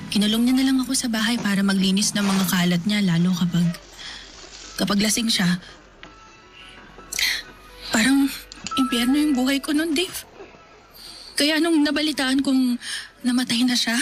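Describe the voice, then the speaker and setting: A woman's voice: a little high, close to an everyday voice. Woman voicing a wry, resigned young woman. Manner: wry, resigned, laughs off pain. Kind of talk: Filipino radio-style drama acting.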